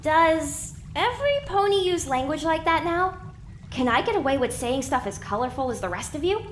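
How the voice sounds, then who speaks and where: A woman's voice: high-pitched, lively intonation, moderate pace, slight background noise. A woman playing a meek, naive, chatty young pony filly in an audio drama. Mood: indignant, questioning. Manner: meek, questioning.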